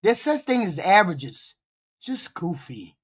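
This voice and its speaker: A streamer giving blunt, profane live reaction commentary, with a voice deepened by a cold and short of breath. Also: big pitch swings, unhurried, slightly rough.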